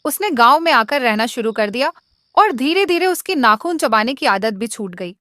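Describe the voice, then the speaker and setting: A woman's voice: on the high side, expressive, normal speed, bright, forceful tone, dry recording, studio quality. A woman reading a scripted moral tale as a storyteller.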